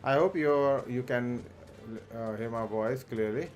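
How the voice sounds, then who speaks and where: A man's voice: medium pitch, a little mellow. Man streaming a game over music. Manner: halting, thinking aloud, repeating himself. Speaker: game livestream host. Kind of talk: casual live gameplay commentary. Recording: music behind the voice. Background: clear background music.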